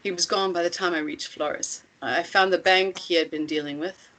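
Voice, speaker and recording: A woman playing an older, self-assured commune matriarch in a script table read, speaking in a measured, deliberate way with pointed rhetorical questions. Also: on the low side, fairly even intonation, a bit bright, close to an everyday voice, low-quality recording. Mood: grave, reflective.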